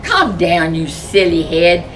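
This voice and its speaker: A woman's voice: on the low side, sing-song, slow pace, background noise. A woman voicing a bossy young bear cub boy in a storybook, with a scoffing childlike manner.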